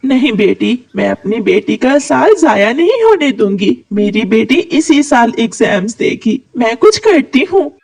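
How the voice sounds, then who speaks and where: Devoted, hard-working cartoon mother bird, played by a woman, earnest and emotional, very animated pitch. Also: unhurried, hardly any pauses, husky, full character voice. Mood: tender, determined. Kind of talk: cartoon moral story voice acting.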